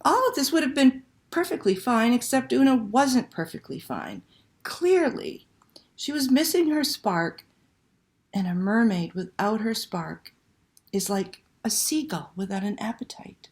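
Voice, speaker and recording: A woman telling a picture-book story to children in a dramatic, expressive read-aloud style. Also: on the high side, measured pace. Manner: dramatic, animated delivery with hushed passages and exclamations.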